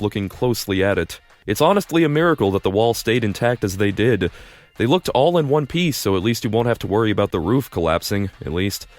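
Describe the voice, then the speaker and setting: A man's voice: on the low side, darkish tone. A man narrating a story in the second person, casual and wry, with dry asides.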